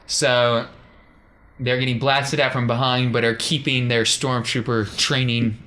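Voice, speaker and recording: A man's voice: medium pitch, level tone, a little slow, pushed and bright, a little hiss or hum. A man narrating a tabletop game as game master in a casual, improvised way.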